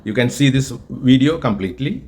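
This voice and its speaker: A man from Kerala, India, with a Malayalam-influenced Indian accent, teaching English in an earnest, deliberate way and often repeating himself. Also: medium pitch, expressive, a little mellow. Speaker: English tutor and group organizer. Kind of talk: spoken English lesson with an AI chatbot.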